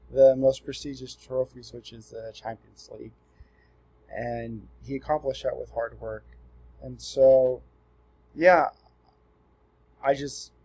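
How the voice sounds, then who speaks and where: Mellow, soft-edged young man from Southern California. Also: mid-range voice, poor audio. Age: 20s. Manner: relaxed and earnest, with frequent ums and restarts. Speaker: student presenting himself. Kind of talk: casual self-introduction for a class assignment.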